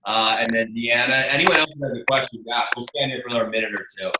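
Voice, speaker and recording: A man's voice: mid-range voice, quick pace. A man hosting an online team meeting as a marketing presenter, with a chatty, rambling, informal sales delivery. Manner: chatty, rambling, informal, addresses listeners as you guys. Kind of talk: casual sales pitch on a group video call. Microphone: video call. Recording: roomy echo, faint noise, clicks.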